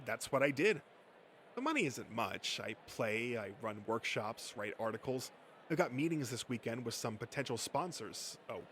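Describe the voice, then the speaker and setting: A man voicing an earnest young adult male card-game player who speaks in a calm, reflective way. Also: medium pitch, slight background noise, lightly acted.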